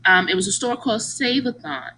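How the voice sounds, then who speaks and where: A woman's voice: fairly high pitch, fairly quick, sharp tone, a little hiss or hum, rough recording. A woman reading a picture book aloud like a teacher, with exaggerated emphasis, putting questions to young listeners.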